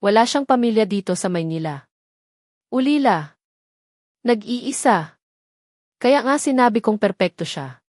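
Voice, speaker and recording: A woman's voice: a little high, lively intonation, a little slow, pauses often, darkish tone, no room sound, studio quality. Woman voicing a cold, commanding male fixer. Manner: commanding, ruthless. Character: cold, authoritative male fixer for a powerful family.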